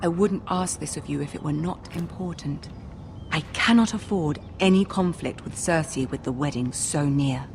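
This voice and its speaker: An actress playing a poised young noble lady in a game drama, gentle and persuasive, with a measured, diplomatic manner. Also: deep voice, a little hiss or hum. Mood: earnest, persuasive.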